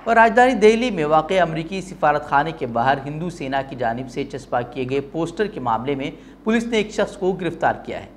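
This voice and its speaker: A male news presenter with a slightly high-pitched voice delivers a bulletin mixed with pointed commentary. He is emphatic and leans on rhetorical phrasing. There is some noise behind his voice. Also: expressive, fairly quick, few pauses.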